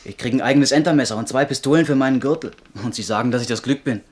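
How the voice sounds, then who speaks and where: A man's voice: mid-range voice, very fast, a little hiss or hum, close to an everyday voice. Eager, cheeky ship's boy acted by a man. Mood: excited, cheeky. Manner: excited, boastful, chatty. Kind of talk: 1970s German radio drama acting.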